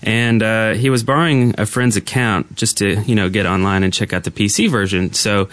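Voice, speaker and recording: A man co-hosting a gaming podcast in casual chat, rambling and halting, easily amused. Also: fairly low pitch, fairly even intonation, a bit bright, no echo. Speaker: podcast co-host.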